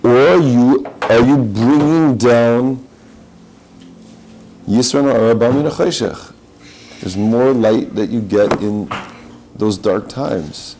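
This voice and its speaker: A man's voice: mid-range voice, slow, pauses often, warm tone, a little hiss or hum, low-quality recording. A man giving an impassioned spiritual talk, urging listeners with challenging questions.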